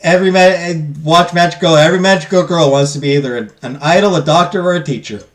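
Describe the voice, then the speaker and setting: A man's voice: a little high, quick pace. A man casually commenting while playing a game, joking, swearing and talking to his viewers. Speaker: let's play host.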